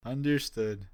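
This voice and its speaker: A man playing a hesitant, meek junior policeman in an audio drama, with music behind him. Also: medium pitch. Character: hesitant, put-upon male junior policeman. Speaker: police subordinate.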